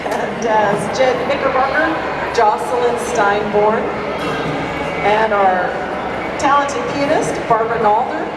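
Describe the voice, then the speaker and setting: Woman introducing a play cast, takes it slow, far from the mic with loud noise. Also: on the high side. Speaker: presenter introducing a theatre cast. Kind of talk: live stage announcement. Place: live stage in a noisy public venue. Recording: distant, echoey, very noisy venue.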